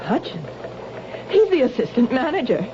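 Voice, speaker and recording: A woman's voice: high voice, wildly swooping pitch, normal speed. A woman playing an anxious, defiant accused woman in a 1970s radio mystery. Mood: agitated. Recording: dull noisy old radio broadcast recording.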